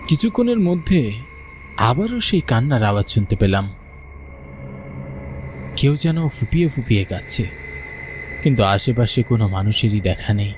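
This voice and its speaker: A man's voice: medium pitch, big pitch swings, normal speed, pauses often, over music, natural voice. An actor playing a frightened young man who tells his own horror tale in a suspenseful way, stammering when afraid. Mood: uneasy suspense. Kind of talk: dramatic first-person horror story narration.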